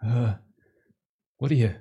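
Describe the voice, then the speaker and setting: A man's voice: mid-range voice, slow pace, slightly rough, dull audio. A man hosting and running a tabletop roleplaying game, chatting loosely with many fillers and restarts. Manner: rambling, many fillers, laughs at own jokes. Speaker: game master. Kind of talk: casual chat opening a tabletop game stream.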